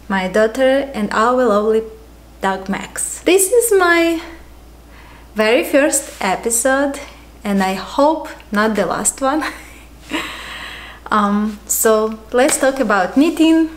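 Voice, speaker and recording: A woman in her thirties from Ukraine with a Ukrainian accent, hosting a casual knitting podcast at home, talking unhurriedly with frequent ums and pauses. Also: fairly high pitch. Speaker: podcast host. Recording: echoey room.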